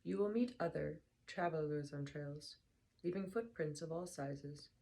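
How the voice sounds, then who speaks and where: A young woman with a hoarse, slightly lost voice, sounding tired, chatting casually and then reading a book aloud softly. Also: low voice, low-quality recording. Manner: tired, soft and unhurried. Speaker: book reader vlogger.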